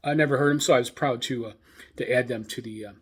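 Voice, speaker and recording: A man with a mid-pitched voice hosts an informal show for radio hobbyists. He talks off the cuff in a casual monologue. His delivery is halting, with frequent ums and uhs as he searches for the next thing to say. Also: breathy edge. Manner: hesitant, halting, frequent long filler pauses.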